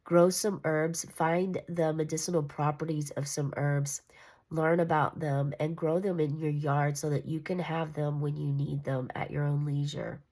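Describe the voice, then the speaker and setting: A woman's voice: low-pitched, little pitch movement, a little slow, a little mellow. A congested, nasal woman giving a casual, meandering tarot reading. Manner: meandering, reassuring, with fillers and restarts. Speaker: tarot reader.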